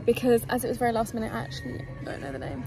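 A woman's voice: fairly high pitch, expressive, rapid-fire, poor audio. Young woman vlogging outside, camera at arms length, chatty, music over it. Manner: chatty, upbeat, talks straight to viewers. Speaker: travel vlogger. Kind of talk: casual vlog narration to camera. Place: outdoors in a town and on a rooftop terrace. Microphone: handheld camera at arm's length. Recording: music playing under the voice.

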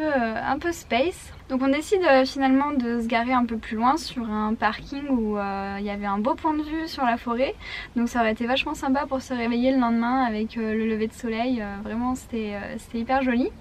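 A young woman telling a story to the camera from inside a parked camper van, chatty and halting, full of fillers. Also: on the high side, slight background noise. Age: young adult. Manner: chatty, hesitant with many fillers. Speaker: travel vlogger. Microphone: camera at arm's length.

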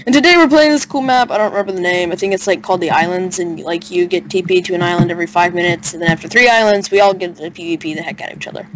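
A young man joking excitably and casually with friends while playing a game over voice chat. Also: high voice, rapid-fire, few pauses, crowd noise. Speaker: gaming guest and YouTuber. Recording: clearly noisy voice chat with clicks.

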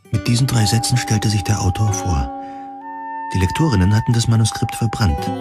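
A man narrating a first-person science fiction audio drama in measured, deliberate, literary phrasing. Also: fairly low pitch.

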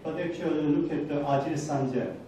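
A middle-aged man with a Korean accent giving a halting, earnest motivational talk to students, often repeating and rephrasing himself. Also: a little high. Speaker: guest speaker. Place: large indoor university lecture hall. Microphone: handheld microphone, captured from across the room. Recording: very echoey hall, distant, faint noise.

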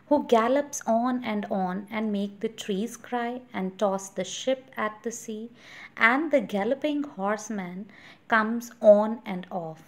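A woman with an Indian accent teaching children, explaining a poem deliberately and repeating herself as she goes. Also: mid-range voice, a little mellow. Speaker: English teacher.

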